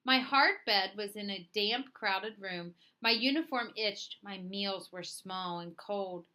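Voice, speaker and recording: Bright-voiced woman reading a children's story. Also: medium pitch. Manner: dramatic, deliberate phrasing with acted dialogue. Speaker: storyteller reading a picture book aloud. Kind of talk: expressive children's read-aloud narration.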